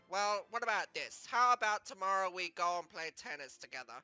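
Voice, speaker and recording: A man's voice: high voice, lively intonation, drawn-out words, sharp tone, clear, resonant tone, dry recording, faint music behind, voice pushed down, exaggerated voice. A man voicing a mild, reluctant male game hero, hesitant and placating, in a plush toy skit. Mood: resigned, placating.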